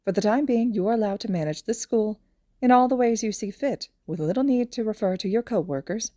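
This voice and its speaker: A small, cunning animal principal played by a woman in an audio story, pitched up and chipper. He speaks in formal, wordy sentences with cheerful smugness and a sadistic streak. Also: a little fast, mellow, no echo, studio quality, natural voice. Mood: smug, brisk. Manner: formal, verbose, cheerfully smug. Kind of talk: audio fan fiction character voice.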